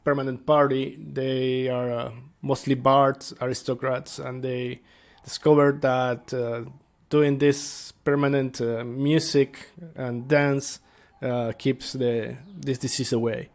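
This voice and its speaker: A man speaking as a tabletop game master, explaining things casually and without a script, halting as he thinks aloud. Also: medium pitch, little pitch movement, creaky. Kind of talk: casual unscripted explanation to viewers.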